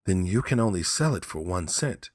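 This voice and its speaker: A man's voice: sits low, animated, normal speed, no room sound, clean studio sound. A man voicing a brooding, determined man who speaks in an earnest, plain, insistent way. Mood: troubled concern. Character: brooding, determined Hawaiian man seeking a bottle.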